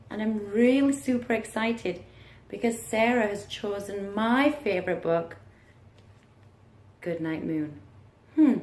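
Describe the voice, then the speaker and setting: A woman teacher reading aloud to young children in an encouraging manner, with questions and deliberate pauses. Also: on the high side, a little mellow. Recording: roomy, echoing room sound.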